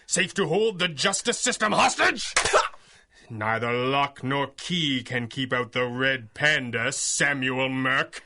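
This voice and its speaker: An actor playing a righteous, menacing masked vigilante in a theatrical 1930s-style superhero radio drama, taunting and articulate. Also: male voice, medium pitch, animated, slow pace, noisy background.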